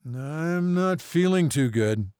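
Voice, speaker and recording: A man's voice: a little high, expressive, normal speed, no echo, studio quality, voice pushed up, natural voice. A man voicing an injured young man who is dazed, slurred and cheekily woozy on painkillers, in an audiobook. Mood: dazed, hurting. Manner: slurred, loopy. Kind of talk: audiobook character acting.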